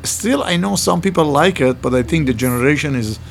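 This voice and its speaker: A man's voice: fairly high pitch, animated, fairly quick, gravelly, a little hiss or hum. An older man from Iran with a Middle Eastern accent, chatting bluntly as a guest about running his pizza place, in broken English. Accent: Iranian. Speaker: guest, former pizzeria owner. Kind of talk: casual interview chat.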